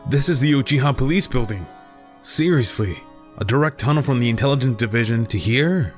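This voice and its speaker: Guy acting earnest principled ninja, medium pitch, kinda subdued, faint music behind. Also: lively intonation, a little fast, natural voice. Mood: observant. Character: earnest, troubled, principled male ninja investigator. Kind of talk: audio drama acting.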